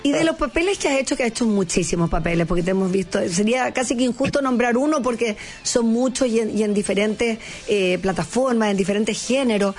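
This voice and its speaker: A Chilean woman with a mostly neutral accent hosts a TV talk show, effusive and full of praise. She tends to repeat her words as she builds a point. Her voice sits a little high, with soft music faintly under it. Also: a little fast, few pauses. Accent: neutral Chilean Spanish. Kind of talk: enthusiastic TV interview.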